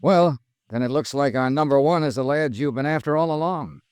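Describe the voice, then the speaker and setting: A man's voice: on the high side, brisk, darkish tone, clean tone, no echo, lightly acted. An American actor playing a shrewd, wry freelance insurance investigator narrating his case in a 1950s radio drama. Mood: wry, thoughtful. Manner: hard-boiled, dryly wry. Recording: vintage radio recording, faint music.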